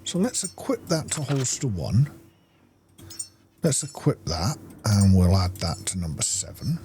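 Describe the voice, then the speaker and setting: Male gamer, unhurried delivery, casual think-aloud muttering, clearly noisy recording. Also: lowish voice, big pitch swings, dark tone. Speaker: gaming video host. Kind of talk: casual gameplay commentary, talking to himself.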